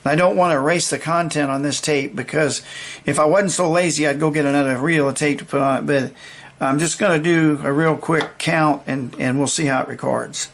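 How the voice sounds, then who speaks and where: Creaky-voiced man, folksy and rambling. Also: fairly high pitch, steady tone, brisk. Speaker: vintage electronics demonstrator. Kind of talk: casual unscripted product demonstration.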